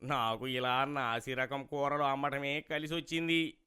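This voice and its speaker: A bright, forceful and crisp voice for a greedy street vegetable seller played by a man. He is a sly smooth talker from a cartoon moral tale, wheedling in a folksy vendor's way. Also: mid-range voice, level tone, a little slow. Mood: stubborn, defensive. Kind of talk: cartoon moral story character acting.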